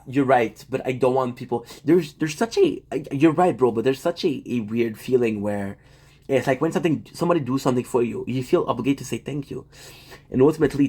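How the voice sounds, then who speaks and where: A man's voice: mid-range voice, mellow, slightly rough. Guy livestreaming from home, rambles, restarts a lot, talks to chat. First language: French. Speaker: livestream host.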